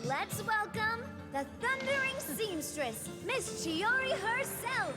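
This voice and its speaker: A cute, girlish cat-spirit chirps in a very high, lively voice. She is an eager, excitable game character announcing showily over loud music. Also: slow pace, full character voice. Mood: showy excitement. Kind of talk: video game voice acting.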